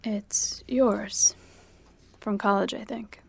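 A woman playing an unflappable, curt newspaper editor-in-chief with a deadpan manner, in audio drama dialogue. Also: mid-range voice, slow, gravelly, husky, exaggerated voice. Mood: dry, sardonic.

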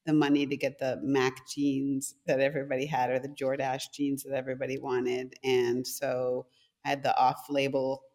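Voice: medium pitch, completely flat delivery, normal speed, darkish tone. Fifty-something guest, first language german, no accent, rambles thru long stories, very direct. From Canada. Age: 50s. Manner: direct, long anecdotes with many restarts. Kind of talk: casual interview talk.

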